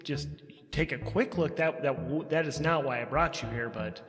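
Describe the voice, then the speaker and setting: Man voicing an awkward male space bounty hunter, raised pitch, halting, eager to please, audio drama, reverberant. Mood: sheepish, pleading. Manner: halting, stumbling, informal. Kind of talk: audio drama character acting. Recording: reverberant sound under loud music.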